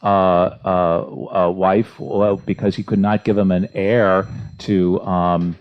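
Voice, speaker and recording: A man hosting a religious question-and-answer talk as a priest, speaking off the cuff and haltingly, often searching for words. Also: low-pitched, flat delivery. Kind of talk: unscripted church history discussion and reading listener questions. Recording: dull, muffled lo-fi audio.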